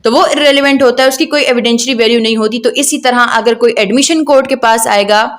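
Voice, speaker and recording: Woman, Pakistani accent, slightly high voice, law lecturer, didactic, question-and-answer explaining. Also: fast talker, a bit bright. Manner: didactic, asks rhetorical questions and answers them. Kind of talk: explanatory law lecture mixing Urdu and English.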